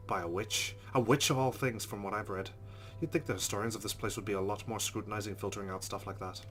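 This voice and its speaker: Low-voiced man reading game narration. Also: a bit bright. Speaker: let's play host. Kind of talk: reading visual novel narration aloud.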